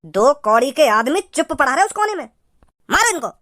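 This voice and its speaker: A performer voicing a menacing, scheming male cartoon gangster, speaking in deliberate, boastful threats. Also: high-pitched, sing-song, noisy background. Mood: threatening. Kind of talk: cartoon villain dialogue. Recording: music behind the dialogue.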